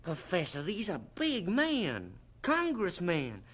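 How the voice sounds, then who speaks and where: An actor playing a fussy, protective young assistant, scolding and pleading in a radio drama. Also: male voice, high-pitched, wildly swooping pitch, slow, a bit bright, heavily acted. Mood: worried, scolding.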